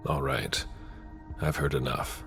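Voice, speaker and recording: An actor playing a wary vampire assassin in a game cutscene, terse and formal, with a gravelly voice. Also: male voice, extremely low pitch, flat delivery, slow, a bit bright, soft background music, full character voice. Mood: grave, dutiful. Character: wary, formal male vampire assassin serving his prince. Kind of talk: dramatic video game cutscene acting.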